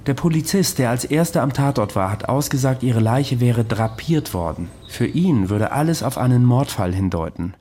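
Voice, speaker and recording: Cynical investigator male actor for radio drama, mid-pitched, mellow, matter-of-fact, faint background noise. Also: lively intonation, normal speed, hardly any pauses, natural voice. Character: insistent, cynical male investigator, self-justifying.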